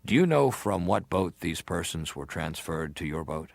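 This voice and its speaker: An actor playing a methodical senator who questions a witness in a formal, probing, businesslike way. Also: male voice, deep voice, very animated, normal speed, gravelly, a little mellow, no echo, heavily acted. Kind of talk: dramatised reading of hearing testimony.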